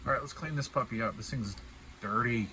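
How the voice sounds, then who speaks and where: A man's voice: mid-range voice, brisk, pushed and bright, low-quality recording. Folksy man narrating over background noise. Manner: folksy, colloquial, self-deprecating. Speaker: hobbyist showing a DIY radio build. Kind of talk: casual unscripted show-and-tell narration.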